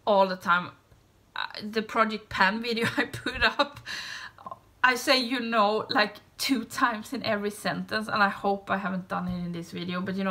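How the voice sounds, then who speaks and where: A woman with a Swedish accent, Swedish being her first language, talking casually to camera. Her light, bright voice moves at an unhurried pace. She rambles and hesitates, often trailing off into a soft hush. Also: medium pitch. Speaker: beauty YouTuber. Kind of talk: casual tag video chat.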